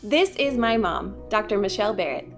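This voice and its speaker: A woman presenter reading a prepared script about inspiring women in a steady manner. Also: mid-range voice, moderate pace, vocal fry. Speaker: library staff presenter. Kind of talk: scripted narration. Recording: music playing underneath.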